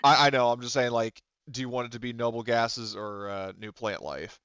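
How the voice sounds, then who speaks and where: A man acting as game master in casual, improvised talk, halting as he thinks aloud. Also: medium pitch, a bit bright, smooth, no room sound, highs cut off. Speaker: game master of a tabletop roleplaying game.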